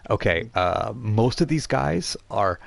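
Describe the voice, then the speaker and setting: A man's voice: lowish voice, lively intonation, takes it slowly, vocal fry. A man narrating a tabletop game casually as game master, improvising with chatty asides.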